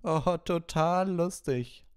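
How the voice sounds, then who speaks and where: A man voicing an arrogant schoolboy bully in a storybook reading, with mocking, drawn-out sarcasm. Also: on the high side, smooth, voice pushed up. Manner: drawling, exaggerated sarcasm.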